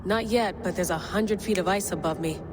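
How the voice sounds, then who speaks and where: A woman's voice: mid-range voice, fairly quick, background noise, lightly acted. A woman playing a determined, intense modern field agent in a game cutscene, speaking directly in clipped phrases. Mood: resolute. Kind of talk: naturalistic game cutscene acting.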